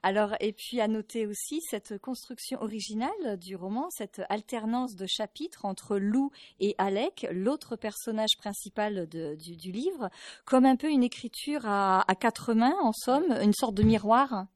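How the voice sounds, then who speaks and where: A woman's voice: mid-range voice, expressive, measured pace, dry recording, clean studio sound. A woman hosting a radio book interview, hesitant and full of fillers. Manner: hesitant, many fillers and restarts. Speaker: radio host.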